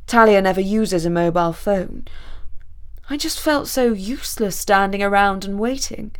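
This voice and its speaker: A woman's voice: medium pitch, moderate pace, close to an everyday voice. A woman voicing an earnest, lovestruck young male student in a story, impulsive and faltering, with a raised voice. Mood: sheepish.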